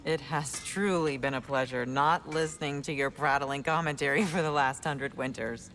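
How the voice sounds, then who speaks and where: A woman's voice: low voice, steady tone, normal speed, a bit bright. An actress playing a dignified noble queen in a game, stern but caring, with dry wit. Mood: firm. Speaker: leader of refugee people.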